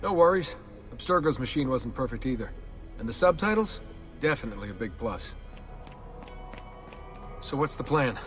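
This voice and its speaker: An actor playing a laid-back young assassin recruit in a video game, plain-spoken and questioning in a casual way. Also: male voice, medium pitch, sing-song, normal speed, long pauses, fairly bright tone. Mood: relaxed. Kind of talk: game cutscene dialogue. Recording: music under the dialogue. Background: music.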